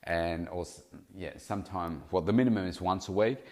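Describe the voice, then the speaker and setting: A man's voice: low voice, a little fast, darkish tone. Nasal-sounding man musing on a podcast. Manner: rambling, self-correcting, thinking aloud. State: slightly nasal from hay fever. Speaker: podcast host and weight loss coach. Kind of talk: casual solo podcast talk.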